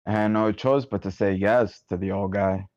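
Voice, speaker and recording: A man's voice: fairly low pitch, fairly even intonation, moderate pace, a little mellow, lightly acted. A man playing a vicious, cold middle-aged businessman killer in a screenplay table read. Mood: calm menace. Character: vicious cold 53-year-old businessman killer, menacing and casual. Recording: muffled online meeting audio.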